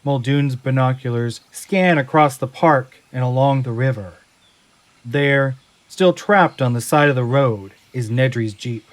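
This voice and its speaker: A man's voice: mid-range voice, a little slow. A man reading scripted dramatic narration for an audio drama in a suspenseful storytelling manner. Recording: music and effects behind narration.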